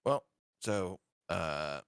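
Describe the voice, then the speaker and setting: A man playing a tabletop game, speaking in a slow, wry way. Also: low-pitched, animated, vocal fry, clean tone, no room sound, clean studio sound. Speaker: player.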